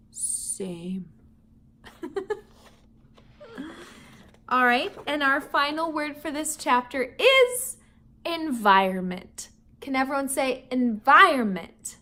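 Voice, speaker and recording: A woman teacher reads a children's chapter book aloud to young listeners and stops to teach vocabulary words. Her voice sits high and bright, and it swings a lot as she goes. She laughs often and adds playful, chatty asides.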